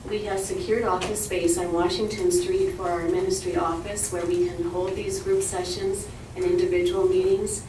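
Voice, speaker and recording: A soft-spoken woman presenting a program from prepared notes at a meeting. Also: lowish voice, flat delivery, normal speed, hardly any pauses. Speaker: ministry representative. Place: meeting room. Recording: roomy, distant, noisy room recording.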